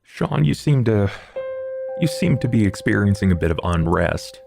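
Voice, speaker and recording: A man in a tabletop game playing a huge old vampire who speaks formally, with measured calm and quiet threat. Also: lowish voice, gravelly. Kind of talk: tabletop roleplay in character. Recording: music bed under the voice.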